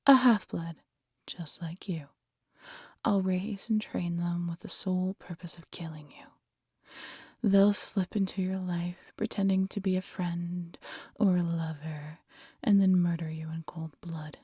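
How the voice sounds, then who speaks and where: A woman playing a proud, vengeful demon queen in an audio roleplay, theatrical and menacing, often dropping to a breathy hush. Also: on the low side, fairly even intonation, unhurried, no room sound, studio quality. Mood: hushed gloating menace. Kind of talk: scripted audio roleplay addressed to listener.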